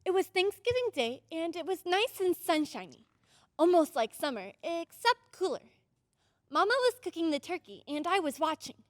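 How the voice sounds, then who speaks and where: Woman playing an earnest, very high-voiced American girl. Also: very animated, normal speed. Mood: eager storytelling. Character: excitable, earnest young American girl narrating her story. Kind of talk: old-time radio drama acting.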